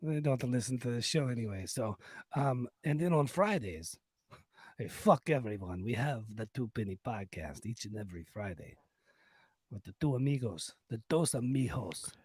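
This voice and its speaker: A man plays a sultry Latin lover. His voice is husky and breathy, sometimes dropping to a whisper, and it swings playfully up and down as he haltingly flirts through broken English in a close, dry podcast sound. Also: mid-range voice, expressive, a little mellow, dry recording. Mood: mock suave. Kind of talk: comic podcast sign-off in a put-on voice.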